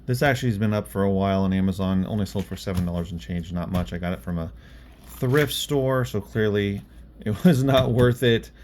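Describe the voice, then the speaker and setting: A man's voice: fairly low pitch, fairly quick, darkish tone. A man chatting casually in a vlog about his own work, offhand and thinking aloud as he goes. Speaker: online reseller and bookstore owner, vlog host. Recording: clear background noise.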